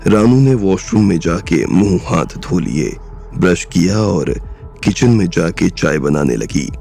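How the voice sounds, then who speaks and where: Slow, deep, gravelly male narrator. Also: soft background music. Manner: slow, steady storyteller. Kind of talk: scripted storytelling narration.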